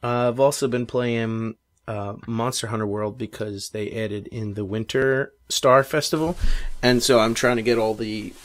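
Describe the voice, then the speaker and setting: A man hosting a casual gaming podcast, rambling with many fillers while his voice is going hoarse. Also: medium pitch, steady tone, normal speed. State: losing his voice. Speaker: podcast host. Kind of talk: casual chat.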